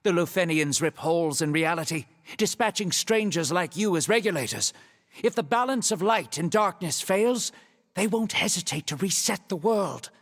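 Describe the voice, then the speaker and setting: High-voiced scheming conspirator, cavernous reverb. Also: male voice, a bit bright. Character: scheming, impatient male conspirator guide. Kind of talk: video game cutscene acting.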